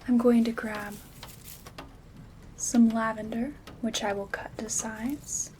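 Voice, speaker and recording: Slow, hushed woman acting a gentle witch. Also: fairly high pitch, level tone, noisy background. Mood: calm, focused. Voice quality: soft-spoken, often slipping into whispers. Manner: unhurried, step-by-step instruction. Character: calm, gentle witch crafting herb bundles. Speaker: ASMR host. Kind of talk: ASMR roleplay, instructional. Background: rain sounds.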